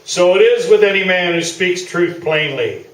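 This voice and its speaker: A man performs a self-satisfied veteran sports columnist in a radio play staged live. The voice is fairly high and pompous, oozing false modesty and mock sincerity. It is picked up from a distance in a reverberant space. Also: animated, moderate pace. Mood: smug, defensive. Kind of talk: staged radio play interview before an audience. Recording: roomy distant stage recording with noise.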